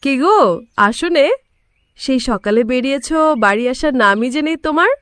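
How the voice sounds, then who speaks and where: A woman plays a practical farmer's wife in a village cartoon. Her voice is high, clean and smooth, with a homely manner. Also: animated, moderate pace, fairly bright tone, dry recording. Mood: chiding, practical. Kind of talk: Bengali cartoon voice acting.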